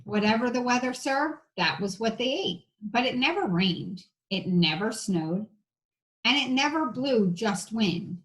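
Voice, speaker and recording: A woman's voice: a little high, animated, a little slow, fairly bright tone, poor audio. A woman reading a children's storybook aloud like an elementary teacher, with casual asides to the listeners.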